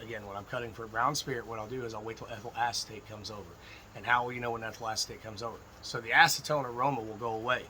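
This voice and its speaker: A man with a slightly froggy, hoarse voice gives informal, emphatic instruction as a distilling teacher talking to viewers. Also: mid-range voice, pushed and bright, a little hiss or hum, low-quality recording. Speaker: distilling instructor and video host. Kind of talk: informal instructional talk to camera.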